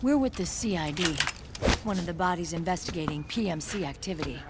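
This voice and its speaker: A woman playing a tough young military squad commander, brisk, guarded and no-nonsense, in a dramatic video game. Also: on the low side, animated, very noisy. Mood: businesslike.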